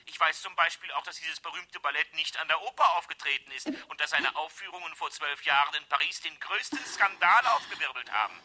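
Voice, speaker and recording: An actor playing a smug, suave blackmailer in a German radio drama, mock-courteous and insinuating with an undertone of menace. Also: male voice, fairly high pitch, expressive, fairly quick, hardly any pauses, bright, forceful tone. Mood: menacing, smug.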